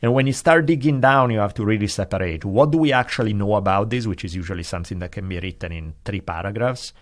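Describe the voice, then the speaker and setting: A man's voice: lowish voice, fast talker. A man, a podcast guest explaining ideas in a thoughtful, digressive way with frequent hedges. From Italy. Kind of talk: casual conversation.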